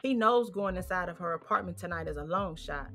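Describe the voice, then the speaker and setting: A woman's voice: on the low side, animated, fairly quick, sharp tone. A woman with an American accent narrating a scripted story as its storyteller. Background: music.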